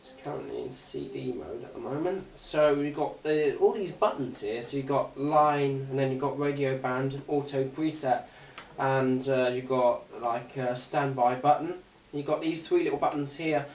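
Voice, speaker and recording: A man's voice: mid-range voice, normal speed, a little mellow. A British man giving a casual, unscripted product demonstration in a hesitant, rambling manner. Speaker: gadget reviewer. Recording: roomy, distant mic, faint noise.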